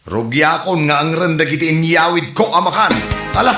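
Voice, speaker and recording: A man's voice: a little high, animated, moderate pace, lightly acted. A man with an Ilocano accent playing a hapless, greedy, defensive grown son in a comedy radio drama. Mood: whiny complaining. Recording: roomy echo, faint music.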